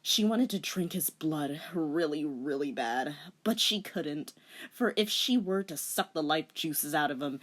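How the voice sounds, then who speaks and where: Woman, slightly low pitch, playful host, tongue-in-cheek, casual scripted narration. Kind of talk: casual scripted commentary and dramatic reading.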